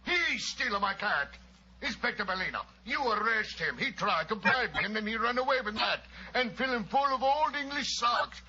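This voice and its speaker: Excitable foreigner, very high voice, indignant, broken English, noisy muffled old radio drama. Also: male voice, moderate pace. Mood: agitated, indignant. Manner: agitated, theatrical, broken English. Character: excitable, indignant foreign man hunting for his stolen cat. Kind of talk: 1940s radio comedy-drama acting.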